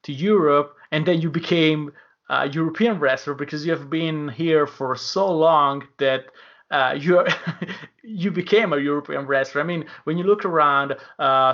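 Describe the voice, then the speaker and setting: A man hosting a podcast interview, speaking in quick bursts with many fillers and grammar. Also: on the high side, creaky, fairly bright tone, highs cut off. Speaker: podcast host.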